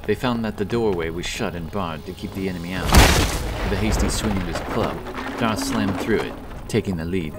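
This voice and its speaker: A man's voice: low-pitched, a little fast, far from the mic. A man giving a dramatic scripted narration of a fantasy story. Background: loud epic background music.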